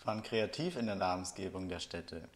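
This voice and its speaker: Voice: low-pitched, lively intonation, a little fast. Podcast cohost from southwest germany, jokey, laughs a lot. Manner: joking, laughs often. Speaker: podcast co-host. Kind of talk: casual chat about a reality show.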